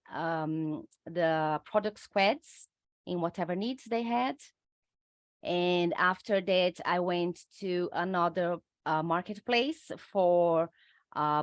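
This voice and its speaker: A woman's voice: on the low side, no echo, clean studio sound. Brazilian woman speaking English carefully. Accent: Brazilian Portuguese. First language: Portuguese. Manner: careful, measured, with frequent hesitations. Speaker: UX researcher guest. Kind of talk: interview answers.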